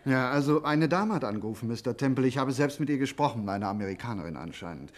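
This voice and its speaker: An actor playing a courteous, evasive and fussy hotel owner in a 1950s German radio drama. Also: male voice, mid-range voice, expressive, fast, lightly acted. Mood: flustered. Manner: polite, fumbling, defensive.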